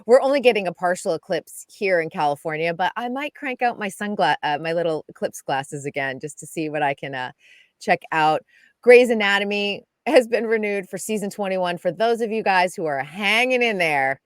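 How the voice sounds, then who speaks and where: A woman giving a chatty, lively entertainment news segment on a radio show, laughing often. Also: mid-range voice, moderate pace, dry recording, clean studio sound. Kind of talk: casual radio chat.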